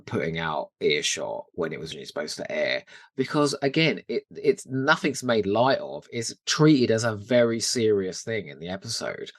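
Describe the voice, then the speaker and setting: A British man chats as a podcast co-host, with plenty of emphasis. His voice is on the low side but moves around a lot in pitch. It is recorded dry and close, with little room around it. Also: no echo. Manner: expressive, emphatic. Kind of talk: casual chat.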